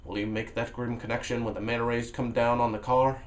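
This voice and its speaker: Male vlogger reading a novel aloud, medium pitch, dramatic, leaping loud then dropping to a hush. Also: a little fast. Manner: expressive, with sudden jumps in pitch and loudness; drops to a low, hushed voice for inner thoughts.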